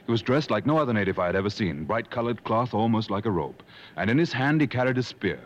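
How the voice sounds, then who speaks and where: An actor playing a reasonable, gently teasing gentleman explorer, measured and genteel, in 1950s radio drama style. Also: fairly low pitch, very animated. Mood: solemn storytelling. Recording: muffled old radio broadcast with music.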